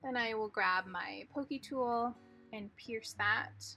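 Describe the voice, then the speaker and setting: A woman calmly explaining each step as she narrates a card-making tutorial. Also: fairly high pitch, slow, gravelly, faint music behind. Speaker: craft tutorial host.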